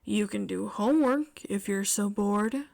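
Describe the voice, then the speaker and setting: A woman's voice: mid-range voice, a little slow, vocal fry, darkish tone. Woman playing an exasperated, scolding male teacher. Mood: weary, stern. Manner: scolding, emphatic.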